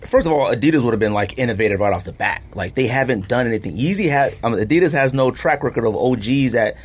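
A man's voice: medium pitch, expressive, fast talker, slightly rough. A man hosting a casual podcast chat, talking in an emphatic, opinionated, slangy way. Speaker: podcast host.

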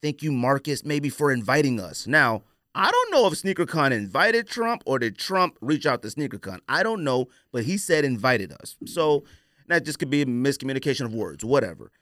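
A man's voice: mid-range voice, sing-song, normal speed, a bit bright, dry recording, clean studio sound. A man hosting a podcast, telling stories in a casual, colloquial, opinionated way and acting out quoted exchanges. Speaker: podcast host.

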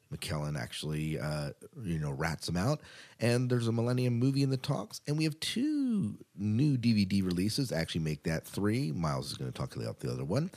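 A man with an American accent and a notably low voice hosts a podcast. He talks informally and chattily, sometimes doubling back to repeat a phrase. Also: a little mellow. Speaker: podcast host. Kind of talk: casual podcast chat and show rundown.

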